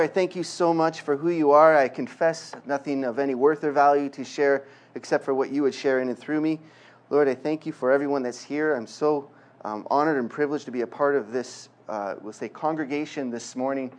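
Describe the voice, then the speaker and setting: A middle-aged man from Quebec with a Canadian accent, preaching a conversational sermon with self-deprecating humor. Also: on the high side. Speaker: guest preacher. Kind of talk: sermon with jokes and prayer.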